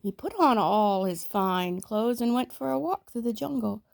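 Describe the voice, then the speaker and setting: A woman reading a children's story aloud in a measured, expressive storytelling manner. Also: a little high, creaky, no room sound. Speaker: storybook reader.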